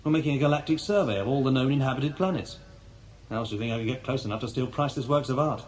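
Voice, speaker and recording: An actor playing an irritable, suspicious armed thief in a radio drama, brusque and sceptical. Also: male voice, medium pitch, rapid-fire, a little mellow, natural voice. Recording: faintly noisy 1980s radio drama.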